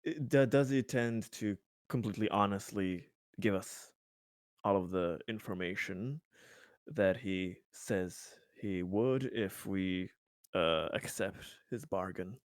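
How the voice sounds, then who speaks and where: Man, tabletop player, unhurried and hesitant, casual roleplay talk, dry close mic. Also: sits low, no echo.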